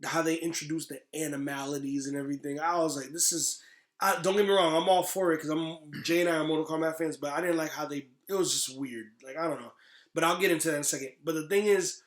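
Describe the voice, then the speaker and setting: A man co-hosting a podcast, chatting casually in an emphatic, slangy, opinionated way like an excited fan. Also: fairly high pitch, fast, roomy sound. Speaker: podcast co-host. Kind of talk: casual chat about games and movies.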